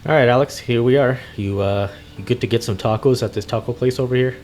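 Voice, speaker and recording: A man's voice: medium pitch, fast, a little mellow. A man doing casual, hesitant improvised roleplay commentary while playing a trucking game. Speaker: host playing a truck driver character.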